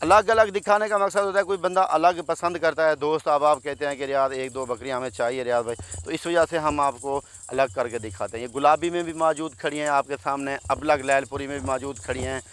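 A man in his thirties from Pakistan talks in a slightly high voice, chatty and salesman-like. He keeps addressing his friends as he shows his herd. He speaks outdoors in an open field into a phone held at arm's length. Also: steady tone, fairly quick, hardly any pauses, a bit bright, clean tone. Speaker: goat farm owner and seller. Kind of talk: casual sales walkthrough vlog.

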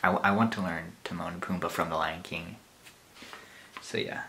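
A young man talking casually to the camera from a small bedroom, rambling and self-deprecating. His voice is on the lower side but bright and forward. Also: fairly even intonation, moderate pace, creaky. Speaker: YouTuber. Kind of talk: casual vlog chat.

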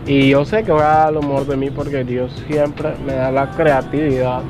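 A young adult man, presenting a vlog casually outdoors, pausing between short phrases. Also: medium pitch, level tone, over music. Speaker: vlogger. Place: outdoors on a rooftop above a city.

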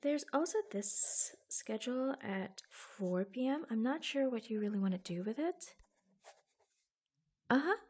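Breathy, slow, affectionate secretary, played by a woman. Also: a little high, expressive. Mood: soft businesslike. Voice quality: breathy, often dropping to a whisper. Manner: gentle, hesitant, pausing between short phrases. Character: affectionate, reassuring secretary secretly in love with her boss. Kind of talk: soft-spoken ASMR roleplay.

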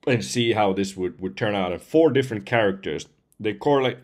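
Male voice-over artist, forties, somewhat low and a little hoarse, chatting informally. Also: a little fast, a little mellow. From Finland. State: slightly hoarse. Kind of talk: casual demo talk to camera. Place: indoors at home computer, seen wearing headset.

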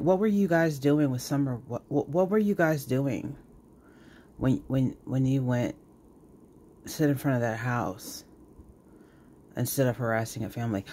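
A man's voice: medium pitch, a little mellow, slight background noise. A man hosting reaction commentary, scolding emphatically and repeating words for effect. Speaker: commentary host.

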